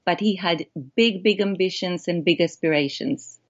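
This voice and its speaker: Low-voiced Israeli woman filmmaker. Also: moderate pace, lo-fi sound. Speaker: documentary filmmaker, guest. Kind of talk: interview answers.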